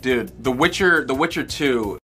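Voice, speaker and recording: A man's voice: mid-range voice, animated, moderate pace, loud music behind the voice. A young man co-hosting a casual chat about video games, easygoing and joking. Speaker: co-host.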